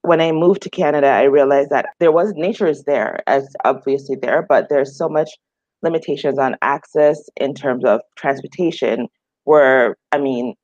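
A woman's voice: a bass voice, normal speed, darkish tone, no echo. A woman from Jamaica, chatting relaxedly in an interview with frequent fillers. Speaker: guest, outdoor group founder. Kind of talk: casual conversational interview answers. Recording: dry, slightly dull remote audio.

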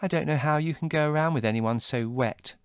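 A man's voice: fairly high pitch, a little fast, clear, resonant tone, no room sound, clean studio sound, pitched above the performer's own voice, close to an everyday voice. A man voicing a haughty young woman who speaks in an airy, arch, easily offended way. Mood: indignant.

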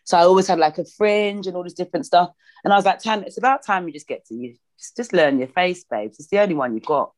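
A woman chatting as a podcast guest over a video call. She speaks frankly and jokes a lot. Her pitch swings widely, which makes her storytelling sound animated. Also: quick pace.

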